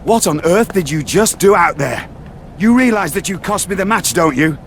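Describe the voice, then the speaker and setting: A man's voice: high-pitched, lively intonation, normal speed, slight background noise. A man voicing an indignant, fussy wrestler who speaks in an affronted, formal way in a game.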